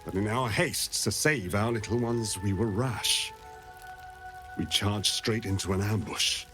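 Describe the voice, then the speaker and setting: A grim resigned beast hunter in a fantasy game, lowish solemn voice, music and rain sounds. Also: male voice, measured pace, fairly bright tone. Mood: regretful. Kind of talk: dramatic fantasy video game acting.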